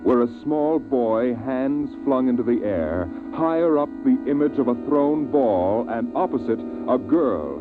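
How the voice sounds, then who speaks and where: An actor narrating a 1950s science-fiction radio drama as a solemn storyteller, with measured phrasing and weighty pauses. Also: male voice, medium pitch. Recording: tinny vintage radio recording, music behind.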